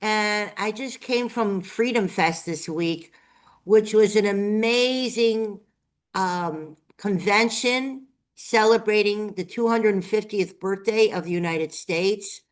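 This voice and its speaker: A woman's voice: mid-range voice, slow pace. A woman chatting enthusiastically as a guest on a live-streamed show, sounding a bit congested. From Las Vegas, Nevada. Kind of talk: casual live-stream chat.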